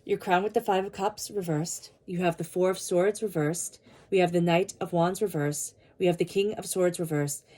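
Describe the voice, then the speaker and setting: Mellow-voiced tarot reader with a cold. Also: female voice, on the low side, steady tone, normal speed, few pauses. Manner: soothing guided meditation; earnest, repeats invocations. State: has a cold. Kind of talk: tarot reading monologue.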